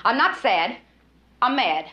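A woman's voice: on the high side, big pitch swings, slow, highs cut off. An actress playing a woman police officer who speaks out emotionally about her strained marriage. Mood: angry. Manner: emotional, outspoken. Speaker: police detective.

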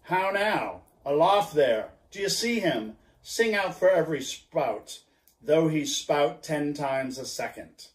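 A man's voice: medium pitch, slow, darkish tone, natural voice. A man voicing an obsessed old sea captain who speaks in grand, archaic declarations, in a roomy recording. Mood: defiant. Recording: roomy echo.

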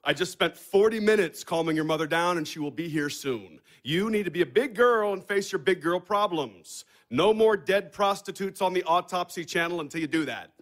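An actor playing a blunt, bossy, sardonic man in a stage comedy, brusque and deadpan. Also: high voice, moderate pace, few pauses. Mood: scolding. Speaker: actor in an audio play. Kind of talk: live-recorded stage comedy acting.

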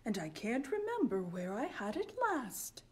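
A woman voicing a gentle, worried young male owl from a storybook, speaking slowly and hesitantly. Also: a little high, big pitch swings. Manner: slow, hesitant, glum.

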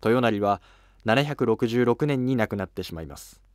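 A man's voice: fairly low pitch, lively intonation, normal speed, no echo. Male history narrator, dry close sound.

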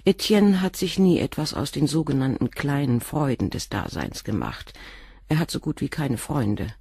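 An actress playing a composed middle-aged doctor's wife and former nurse, speaking frankly and in a measured way in a radio drama. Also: female voice, rumbling low, big pitch swings, dark tone, slightly rough, no room sound, clean studio sound, heavily acted. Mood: earnest. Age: 40s. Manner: measured, frank, controlled confession. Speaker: witness questioned by the inspector.

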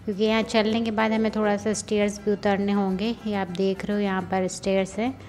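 A woman's voice: medium pitch, little pitch movement, a little fast, a little mellow. A young woman vlogger chatting casually as she guides viewers on a rainy hill trip, recorded on a phone camera. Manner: chatty, guiding viewers, mixes in English words. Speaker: travel vlogger. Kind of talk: casual travel vlog narration.

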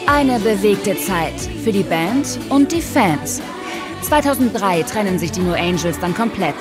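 A woman's voice: mid-range voice, expressive, a little slow. A woman narrating a TV documentary from a script in a conversational, playful style. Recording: music bed under narration. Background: background music.

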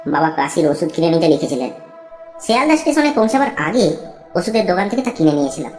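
A woman with a fairly low voice narrates a horror tale. Her delivery is dramatic and deliberate, with wide rises and falls in pitch. The sound is roomy and echoing, with soft music behind her. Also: very animated. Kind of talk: scripted first-person horror story narration.

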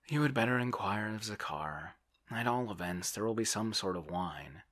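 A man's voice: on the low side, expressive, normal speed, fairly bright tone, pitched above the performer's own voice. A man voicing a fretful, indolent, helpless landowner in an audiobook, plaintive and dithering as he protests. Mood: absent, resigned. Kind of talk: audiobook character voice.

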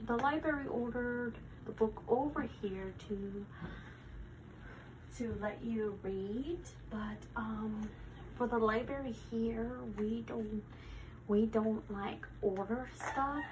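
A woman plays a helpful new librarian in an ASMR roleplay, with a fairly high voice. She talks slowly and softly, hesitating as she goes. The recording has clear background noise. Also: lo-fi sound.

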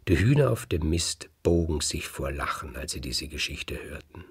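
A man narrating a folk tale as a storyteller, with dramatic pauses and slow emphasis. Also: rumbling low, animated, breathy edge.

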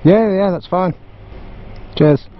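A man's voice: high voice, slow pace, lo-fi sound, slight background noise. A British man talking casually in short, slangy remarks as a motorcycle vlogger. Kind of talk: casual chat to camera while camping and riding.

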